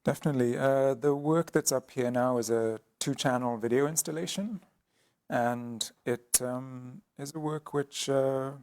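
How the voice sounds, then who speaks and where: A man speaking as an artist guest in an interview, explaining his work thoughtfully with frequent pauses and restarts. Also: medium pitch, steady tone, a little mellow. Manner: hesitant, many ums and restarts.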